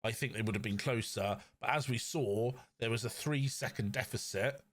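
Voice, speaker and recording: A man's voice: medium pitch, normal speed, a bit bright. Man chatting as a podcast co-host. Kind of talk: casual motorsport discussion.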